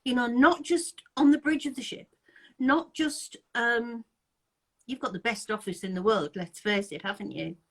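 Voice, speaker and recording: A woman's voice: on the high side, moderate pace. A woman from England hosting a casual live-chat interview over a video call, chatty, laughing often and restarting her sentences. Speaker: interviewer, anti-scam group host.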